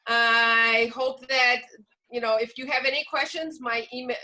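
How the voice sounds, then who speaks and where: Lady wrapping up an online workshop, bright clear-cut voice, a bit high. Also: fairly even intonation, unhurried, faint music behind. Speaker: workshop presenter. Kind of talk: informal closing remarks to trainees. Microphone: video call.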